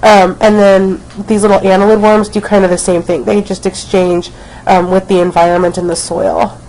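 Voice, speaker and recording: A woman's voice: mid-range voice, flat delivery, moderate pace, darkish tone, low-quality recording. A woman teacher recording a casual biology lecture, snuffly from a cold, with many ums as she talks. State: has a cold, snuffly and coughing. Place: at home, not at school.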